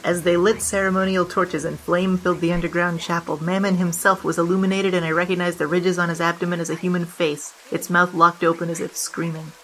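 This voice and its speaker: A woman's voice: lowish voice, little pitch movement, a little fast, hardly any pauses, fairly bright tone, close to an everyday voice, low-quality recording. A woman voicing a flippant, brazen female bandit who narrates her confession in an audio drama. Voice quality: flippant, breezy.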